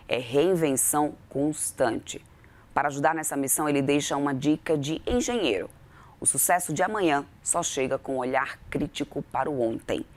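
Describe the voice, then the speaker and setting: A Brazilian woman presenter reading a scripted news introduction in a crisp, expressive way. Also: sits low. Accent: Brazilian Portuguese.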